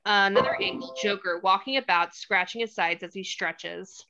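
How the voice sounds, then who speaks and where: A woman's voice: mid-range voice, steady tone, moderate pace, highs cut off, music in the background. A woman reading stage directions as scripted narration at a table read.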